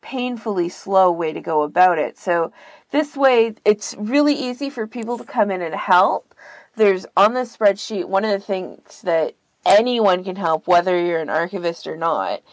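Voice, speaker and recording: A woman's voice: medium pitch, animated, moderate pace, dry recording. A woman explaining things in a casual podcast chat, informally and with plenty of filler words. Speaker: podcast guest and volunteer archivist.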